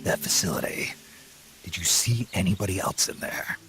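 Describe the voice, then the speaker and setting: An actor playing a gruff, battle-worn soldier in a video game cutscene, calm and laconic, with a gravelly rasp. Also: male voice, moderate pace, background noise. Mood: calm, probing. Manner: laconic, low-key. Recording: loud music under the voice. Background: loud music.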